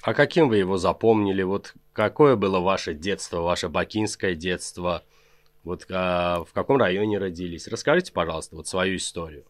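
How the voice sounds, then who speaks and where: A man's voice: deep voice, brisk, no echo, studio quality. A man hosting an interview show, prompting his guest with short questions and affirmations. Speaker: interviewer and host.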